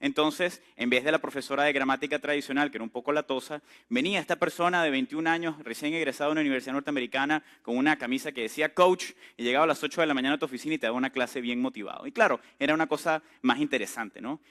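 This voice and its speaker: Bright-voiced man giving a talk. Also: medium pitch, rapid-fire, hardly any pauses. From Venezuela. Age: 30s. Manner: chatty storytelling, asks the audience questions. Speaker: entrepreneur speaker. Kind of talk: conference talk to a live audience.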